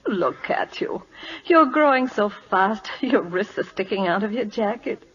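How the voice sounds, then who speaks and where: A woman's voice: mid-range voice, lively intonation, unhurried, slightly rough. A woman playing a tender, proper Victorian schoolteacher and mother, earnest and emotional in an old radio drama. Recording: muffled vintage radio broadcast.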